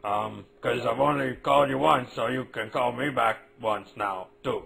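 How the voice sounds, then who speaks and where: A man voicing a mischievous, gruff prank caller in a cartoon, bluffing in a halting, fake-formal way. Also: medium pitch, a bit bright. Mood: awkwardly scheming. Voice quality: gravelly. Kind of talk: cartoon phone-message acting.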